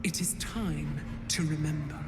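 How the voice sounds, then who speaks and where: A woman playing an imperious, seductively cruel goddess in a fantasy game, grand and measured, with a godlike reverb on her voice. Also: low-pitched, noisy background. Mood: cold, coaxing. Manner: grand, measured, breaking into whispers. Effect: heavy godlike reverb. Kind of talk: dramatic fantasy game acting. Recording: long reverb with music behind.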